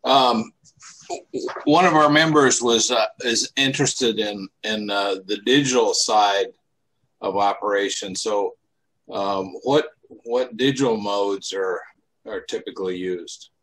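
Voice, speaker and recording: Low-voiced man asking haltingly. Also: fairly bright tone, rough recording. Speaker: participant asking a question. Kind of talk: informal question.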